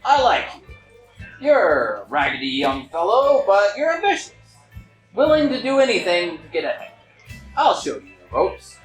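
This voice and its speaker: A man's voice: sits high, sing-song, moderate pace, voice pushed up. A man playing a haughty older monopolist in a table reading, condescending, dismissive and easily outraged. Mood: intrigued, approving. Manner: pompous, dismissive, exclaiming. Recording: echoey room with music behind.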